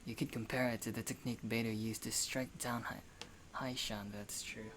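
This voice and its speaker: A woman's voice: very deep voice, steady tone, normal speed, slight background noise. A woman giving casual, slangy, offhand commentary while playing a video game and reading its dialogue. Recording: game music behind the voice.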